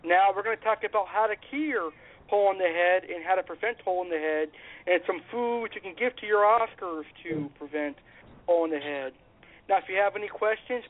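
Radio host with a cold, high voice, casual and repetitive, thin phone-like audio. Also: male voice. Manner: informal, repeats phrases, fillers. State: has a cold. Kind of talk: casual call-in radio talk. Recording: phone-like narrow band.

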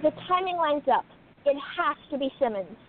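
A woman plays a young princess detective in a radio drama. She is earnest, determined and insistent, with a very high-pitched voice on thin, phone-like audio. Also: animated, moderate pace, slight background noise. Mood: urgent, insistent. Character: determined young princess turned amateur sleuth. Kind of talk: radio play acting.

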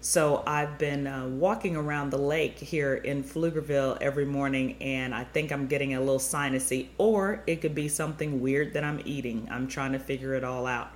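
A woman's voice: rumbling low, fairly even intonation, normal speed, few pauses, slight background noise. A middle-aged woman hosting a travel show, stuffy-nosed, chatting upbeat and rambling straight to her listeners. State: stuffy nose. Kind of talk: chatty podcast monologue.

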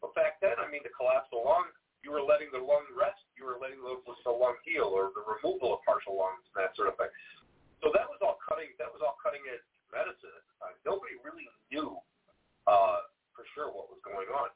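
A middle-aged man with a loud voice rambles through his answers and leans on fillers. The recording is narrow and tinny, like a telephone line. His pitch sits in the middle range for a man. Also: big pitch swings, a little fast. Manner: rambling, frequent "you know, I mean" fillers. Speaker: paranormal investigator. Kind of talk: conversational interview. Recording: phone-like band-limited audio.

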